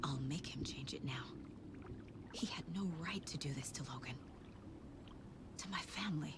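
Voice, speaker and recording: A woman's voice: low voice, normal speed, close to an everyday voice. A woman playing a determined, worried mother and federal agent in a video game, urgent and intense. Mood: hushed, resolute. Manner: urgent, intense, dropping to whispers. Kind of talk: dramatic video game acting. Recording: loud ambient noise behind the voice.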